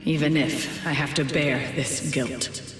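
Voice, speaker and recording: A woman playing a dignified, resolute mentor heard as a memory in a game cutscene, solemn and measured, with reverb and music. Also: sits low, fairly even intonation. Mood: resolute, hopeful. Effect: heavy reverb for a memory. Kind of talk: dramatic game cutscene acting. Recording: heavy echoing reverb, music behind.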